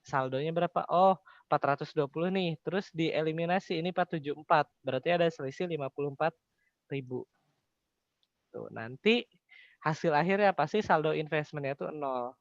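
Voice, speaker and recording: Echoey, higher-pitched young male tutor. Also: fairly quick, highs cut off. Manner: poses questions then answers them, colloquial. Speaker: accounting tutor. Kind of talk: informal explanatory tutoring.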